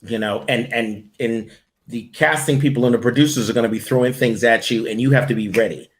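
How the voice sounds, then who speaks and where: A man's voice: mid-range voice, brisk. A middle-aged man, a voice-over coach, telling stories in a casual interview and acting out the directions he gives in the booth. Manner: animated storyteller who acts out booth directions.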